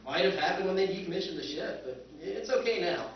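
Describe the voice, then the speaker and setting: A man's voice: high-pitched, expressive, brisk. Man as a wry radio-play spaceman, very echoey. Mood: practical. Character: wry, skeptical male planetary surveyor telling his tale. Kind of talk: old-time radio drama narration and dialogue on stage. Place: convention stage. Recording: echoey stage recording, distant and dull.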